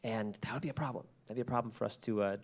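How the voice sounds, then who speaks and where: A man's voice: lowish voice, racing. A man speaking as a podcast creator giving a lecture, explaining in an earnest, conversational way and repeating phrases for emphasis. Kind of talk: prepared talk, explanatory and conversational.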